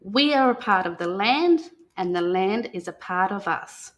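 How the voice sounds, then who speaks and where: An Australian woman speaking as a guest storyteller, talking and reading a picture book aloud in a gentle manner. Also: medium pitch, expressive, measured pace. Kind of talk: unscripted talk and picture-book read-aloud.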